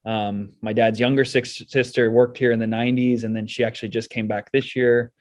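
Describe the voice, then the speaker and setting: A middle-aged man with an American accent, giving measured, explanatory answers as a guest in a casual interview. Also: mid-range voice, level tone, a little mellow, no room sound. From United States and South Korea. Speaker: school sports director, interview guest.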